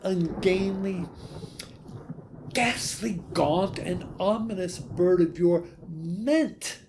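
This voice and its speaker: A man giving a dramatic, theatrical recitation of a gothic poem, with halting delivery and long pauses. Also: sits high, background noise. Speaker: poetry reader.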